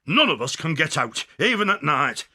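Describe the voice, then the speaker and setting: Agitated badger, raspy, sharp, wildly animated, children's audio drama. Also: male voice, on the high side, moderate pace, full character voice. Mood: flustered, alarmed. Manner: agitated, exclamatory. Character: flustered, blustering male badger, a worried father.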